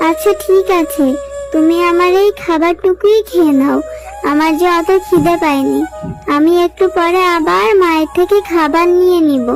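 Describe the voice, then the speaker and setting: A woman's voice: extremely high pitch, moderate pace, over music. A woman voicing a sweet, pleading little fox girl in a cartoon, with a childlike, coaxing manner. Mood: sweet, eager. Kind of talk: cartoon dubbing.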